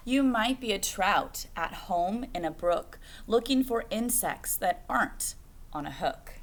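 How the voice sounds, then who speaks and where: A woman's voice: mid-range voice, lively intonation, a little slow. A woman reading a picture book aloud to children like a teacher, phrasing expressively and putting questions to her young listeners.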